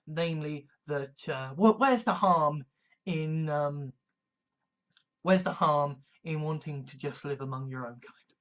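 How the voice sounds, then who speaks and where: A man from England, giving a measured, deliberate monologue full of restarts and fillers. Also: on the high side, fairly bright tone, dull audio. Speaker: video commentator. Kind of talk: casual monologue.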